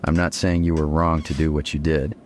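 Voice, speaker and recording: An actor playing a middle-aged dead father heard in his daughter's head, measured and gently reproving, in an audio drama. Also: very deep voice, little pitch movement, slight background noise. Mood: admonishing. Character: dead father's imagined voice, measured, admonishing, paternal.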